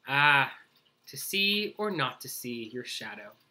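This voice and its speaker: Old male animal sage, man reader acting: storybook advisor, weary, theatrical, musing, animated pitch. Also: slow pace, heavily acted. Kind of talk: children's storybook character voice.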